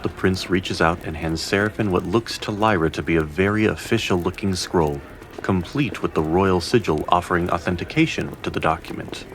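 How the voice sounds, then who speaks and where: A man's voice: low voice, normal speed, a little hiss or hum. A man narrating a fantasy audio drama as a solemn storyteller, in scripted dramatic style. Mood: measured.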